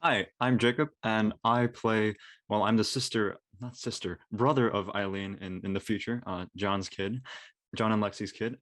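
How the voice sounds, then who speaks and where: A man's voice: on the low side, steady tone, a bit bright, dry recording. A man, an actor on a live show, casually describing his character, doubling back and correcting himself as he goes. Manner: halting, repeats words and self-corrects.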